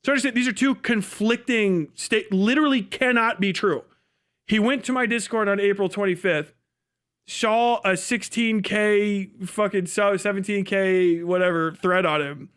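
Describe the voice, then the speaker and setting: High-pitched mocking man, bright and excitable. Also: moderate pace, smooth. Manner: mocking, sarcastic; profane, giggly, excitable. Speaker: livestream host. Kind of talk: casual commentary reacting to a video.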